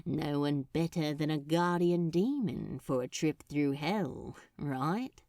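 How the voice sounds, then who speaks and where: A woman's voice: sits low, takes it slowly, a little mellow, dry recording, clean studio sound, pitched below the performer's own voice. A woman voicing a sly, cocky male demon in a storybook, wry and colloquial, with a teasing drawl.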